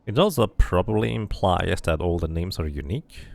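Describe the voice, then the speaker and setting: A man streaming a game, mixing casual commentary with expressive read-aloud narration. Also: deep voice, moderate pace, creaky, no echo.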